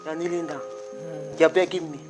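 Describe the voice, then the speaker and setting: A woman's voice: low voice, fairly even intonation, fairly quick, over music, close to an everyday voice. An actress playing a wife who mocks her kneeling husband as she prepares to leave the country. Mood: scornful. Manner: mocking, scornful. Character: defiant wife about to emigrate with her children.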